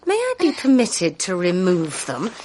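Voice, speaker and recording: A woman's voice: on the low side, wildly swooping pitch, a little slow, heavy background noise. An actress playing a cruel, mocking female robber in a radio drama. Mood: mocking, menacing.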